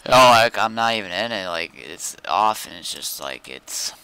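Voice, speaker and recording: A man's voice: fairly low pitch, animated, moderate pace, sharp tone, clear, resonant tone, no room sound, low-quality recording. A man chatting casually while streaming a game, thinking aloud in a laid-back way. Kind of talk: casual gaming chat.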